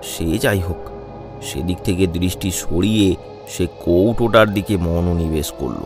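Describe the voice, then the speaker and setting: A man narrating a suspenseful animated horror story in a dramatic storytelling way. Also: sits low, moderate pace. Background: background music.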